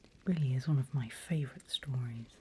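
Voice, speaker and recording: A woman playing a seasoned demon mentor in a whispered ASMR roleplay, breathy and deliberate, playfully sinister and teasing. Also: very deep voice, mellow, slight background noise. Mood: sinister relish.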